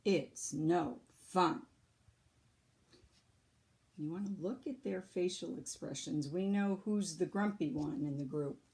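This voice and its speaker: A woman reading a picture book aloud to children like a teacher, deliberate and expressive, pausing to explain. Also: lowish voice.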